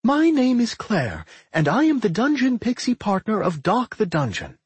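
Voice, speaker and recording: A tiny female pixie, as a male narrator plays her, high and lilting. She speaks with polite, proud formality, a little dungeon fairy from a fantasy storybook. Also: wildly swooping pitch, moderate pace, husky, dry recording, exaggerated voice.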